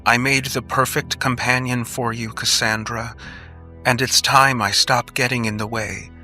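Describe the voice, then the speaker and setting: A man's voice: mid-range voice, fairly even intonation, measured pace, sharp tone, soft background music, pitched above the performer's own voice. A man voicing a distraught, stern woman, an aunt, with an emotional, emphatic delivery in an audiobook story. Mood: tearful, resigned.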